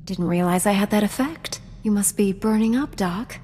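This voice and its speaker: Actress as composed young heroine, long reverb. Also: female voice, mid-range voice, darkish tone, a little hiss or hum, lightly acted. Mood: wry, teasing. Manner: composed, measured, dryly teasing. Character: composed, wryly teasing female Jedi Knight hero. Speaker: video game player character. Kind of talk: video game voice acting. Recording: long hall reverb, game music underneath.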